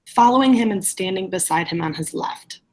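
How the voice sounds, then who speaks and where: A woman teacher with a husky voice reading a play script aloud and directing readers in an online class. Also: medium pitch, lively intonation, brisk, a little hiss or hum, poor audio.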